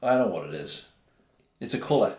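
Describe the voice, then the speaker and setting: A man's voice: lowish voice, animated, fairly quick, a little mellow, echoey room. A man co-hosting a podcast in casual chat, with dry, wry banter. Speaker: podcast co-host.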